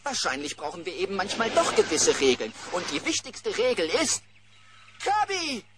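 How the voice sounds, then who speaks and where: A man's voice: high-pitched, sing-song, moderate pace, very noisy. A man voicing a breezy, wily, free-spirited bear who talks in jaunty slang in a children's cartoon audio play. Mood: exasperated. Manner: jaunty slang, smooth-talking.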